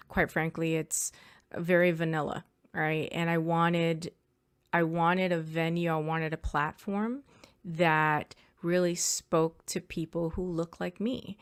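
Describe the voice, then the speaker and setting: A woman's voice: fairly low pitch, smooth, dry recording, clean studio sound. A middle-aged woman, a native Spanish speaker, answering interview questions as an attorney, earnest and thoughtful with small restarts. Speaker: estate planning attorney, guest.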